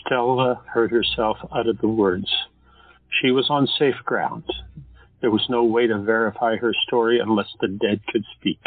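Steady male narrator, lowish voice, phone-thin sound, soft music. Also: moderate pace, dry recording, phone-quality sound. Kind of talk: scripted audio drama narration.

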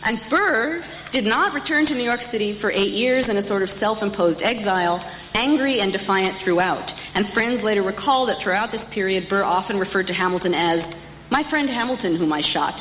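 A woman giving a prepared public lecture, telling history in measured, emphatic and deliberate phrases. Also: medium pitch, expressive. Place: large reverberant hall. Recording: echoey hall, dull distant sound, faint noise.

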